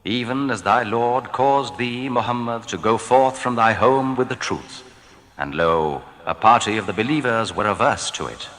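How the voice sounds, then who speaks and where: A man giving a solemn, formal reading of translated scripture, deliberate and declamatory in his phrasing. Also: medium pitch, expressive, a bit bright. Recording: long reverb, hall-like.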